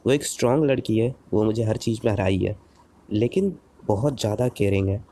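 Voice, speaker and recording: A man playing an earnest, excitable boy superhero in a cartoon dub, pleading and repeating himself when flustered. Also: on the low side, a little fast, lightly acted. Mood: musing.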